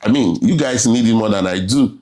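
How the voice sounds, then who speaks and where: A man's voice: on the low side, fairly quick, a little mellow. A man with a Nigerian accent, a native Yoruba speaker, chatting as a guest and explaining with emphatic repeats. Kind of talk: casual chat.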